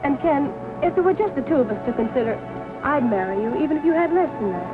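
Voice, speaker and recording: Earnest young sweetheart, high voice, old 1940 movie, tinny w music under it. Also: female voice, expressive, fast talker. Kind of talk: 1940s film drama acting.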